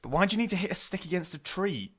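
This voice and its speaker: A man's voice: high-pitched, expressive, fast, a bit bright, breathy edge, heavily acted. A young man playing a wry, well-meaning student in an audio drama, placating his friend in a dry, teasing, slightly hesitant way. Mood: wry, curious. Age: young adult.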